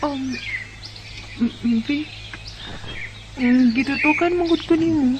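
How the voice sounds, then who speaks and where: A woman's voice: sits high, takes it slowly, noisy background. A woman playing a shy, modest young student in a Bisaya Filipino radio romance, coyly demurring as she talks. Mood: shy, curious.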